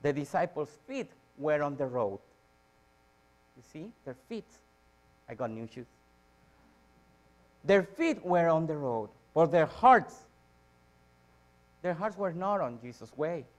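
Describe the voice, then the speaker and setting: Guy preaching in church, slightly high voice, repeats stuff for emphasis, goes loud then soft. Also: big pitch swings, slow pace, pauses often, low-quality recording. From Chile. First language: Spanish. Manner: emphatic repetition with dramatic rises and drops in volume. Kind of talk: church sermon. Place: church sanctuary, speaking from a lectern on stage.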